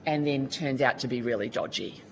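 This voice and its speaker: Australian woman, very low pitch, earnest colloquial testimony, faint background noise. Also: a little fast. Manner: earnest, colloquial, restarts often. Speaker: witness at a Senate committee hearing. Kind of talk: formal testimony.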